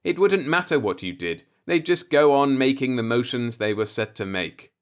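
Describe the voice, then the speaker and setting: A man's voice: medium pitch, lively intonation, normal speed, clean tone, natural voice. A man reading the part of a brooding, disillusioned professor in an audiobook, musing in a pointed, rhetorical monologue. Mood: bleak musing. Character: brooding, disillusioned male professor philosophizing bitterly.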